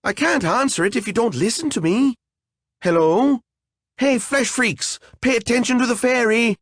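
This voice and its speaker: A man voicing a vain, petulant tiny female fairy with a whiny, high, squealing voice. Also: animated, moderate pace, a bit bright, dry recording, full character voice. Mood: tantrum.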